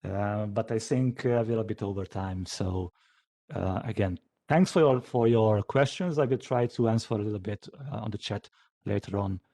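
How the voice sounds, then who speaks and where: A man, a developer informally answering questions after a talk in a hesitant, halting way, as if thinking aloud. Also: lowish voice, warm tone. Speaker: software developer giving a conference talk.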